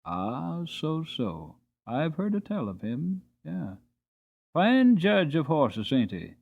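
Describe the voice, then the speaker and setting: A man voicing a kindly, folksy old male stable hostler who speaks low and musing, in a slightly dull recording. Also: huge pitch swings, slow pace, gravelly, exaggerated voice.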